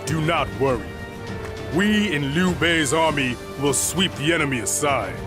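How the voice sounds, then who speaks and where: A man's voice: fairly high pitch, unhurried, natural voice. Man playing a resolute, honorable warrior general. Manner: formal, resolute. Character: honorable, stern warrior general of ancient China. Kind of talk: video game dramatic acting. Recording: voice under loud music.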